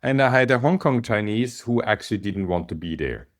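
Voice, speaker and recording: An Austrian man with a German accent speaks in a very low voice. He coaches an online group in a relaxed, unhurried way, stopping often with ums and pauses as he gathers his thoughts. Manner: hesitant, many pauses and ums. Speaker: business coach. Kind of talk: casual talk to an online group.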